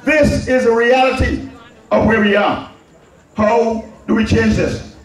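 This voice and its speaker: A man with an Anguillan Caribbean accent giving a political public address in an emphatic, deliberate manner, repeating phrases for stress. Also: extremely high pitch, steady tone. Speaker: public speaker addressing a community. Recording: very echoey public address, distant mic.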